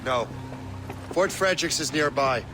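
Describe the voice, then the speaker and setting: Determined young ship captain in a game, weary, lively pitch swings, music behind him. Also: male voice, measured pace. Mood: resolute. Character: weary, determined young sea captain, assassin turned Templar. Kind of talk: dramatic video game acting.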